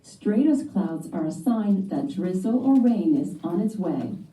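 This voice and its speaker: A woman narrating a scripted educational read-aloud for children in a measured, explanatory way. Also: on the low side, sing-song, warm tone, reverberant, distant mic, poor audio.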